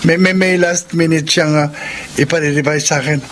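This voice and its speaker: An actor playing a guilty, evasive husband in a radio drama, stammering and halting, with a Filipino accent. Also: male voice, fairly high pitch, steady tone, a bit bright, natural voice. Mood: flustered. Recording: noisy radio drama track.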